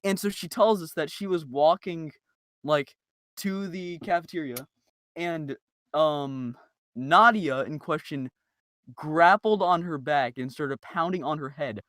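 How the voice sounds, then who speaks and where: A man's voice: a little high, slow, a bit bright, no echo. A man chatting casually on a group podcast, telling anecdotes in slangy, informal language. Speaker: podcast member. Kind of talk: casual group chat, storytelling.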